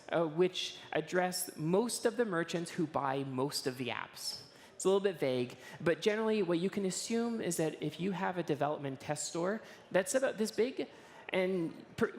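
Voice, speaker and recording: Hoarse woman presenting on a conference stage. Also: low-pitched, a little hiss or hum. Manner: informal, frequent filler words and restarts. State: hoarse, losing her voice. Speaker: engineering executive giving a conference talk. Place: stage of a large conference hall in front of an audience.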